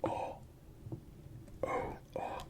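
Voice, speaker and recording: Slow, soft whispering language tutor. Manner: whispering softly, repeating each sound slowly; patient, gently encouraging. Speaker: Spanish teacher. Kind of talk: whispered ASMR language lesson.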